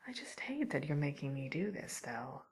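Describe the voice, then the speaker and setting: A woman acts out a sly, nervous witch in an ASMR roleplay. The voice is a low, very breathy whisper with a creaky edge, musing and hesitant as it softly threatens and gossips. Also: big pitch swings, moderate pace, heavily acted. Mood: politely threatening. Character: mildly menacing, nervous, gossipy witch catching a burglar.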